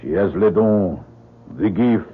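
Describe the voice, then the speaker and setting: An actor playing an excitable, superstitious French-accented lumber camp sergeant in broken English, in an old radio drama. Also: male voice, fairly low pitch, slow pace, slight background noise. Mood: concerned, earnest. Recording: muffled, phone-like old radio broadcast.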